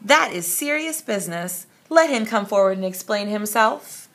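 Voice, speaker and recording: A woman's voice: lively intonation, moderate pace, a bit bright. Woman putting on a stern storybook king. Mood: displeased. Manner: booming, commanding. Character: stern, imposing male king of numbers.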